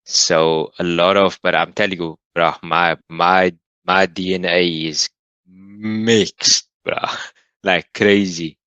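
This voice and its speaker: A man's voice: low-pitched, steady tone, takes it slowly, pushed and bright, clean tone, dry recording, poor audio. A South African man in his late thirties, a podcast guest chatting in a laid-back, slangy way and laughing easily. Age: late 30s. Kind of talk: casual conversation.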